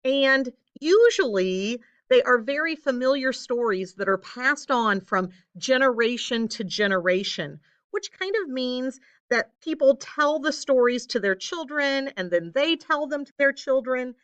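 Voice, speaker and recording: A woman teacher giving a recorded lesson to young children, explaining things in simple, clear phrasing. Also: high voice, animated, a little slow, fairly bright tone, no room sound, dull audio. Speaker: elementary school teacher.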